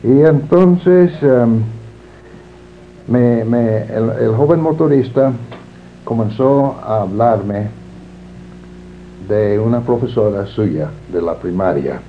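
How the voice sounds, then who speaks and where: An older man whose first language is English, answering interview questions in a formal, measured and reflective way. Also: medium pitch, expressive. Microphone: camera mic. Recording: narrow lo-fi sound with faint hiss.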